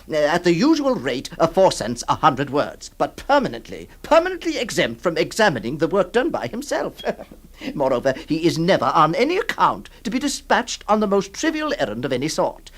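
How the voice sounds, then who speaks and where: Middle-aged lawyer, flustered, stammering, wildly swooping voice, radio drama. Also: male voice, on the high side, a bit bright, exaggerated voice. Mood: mocking cheer. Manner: stammering, theatrical, swinging between mock cheer and pleading. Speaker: lawyer employing a scrivener, narrator. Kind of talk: 1960s radio drama acting.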